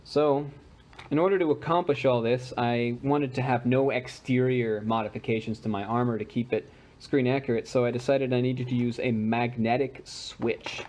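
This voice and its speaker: A man's voice: medium pitch, steady tone, normal speed, darkish tone. A man explaining and demonstrating a homemade gadget in an informal, unpolished, conversational way, like a hobbyist.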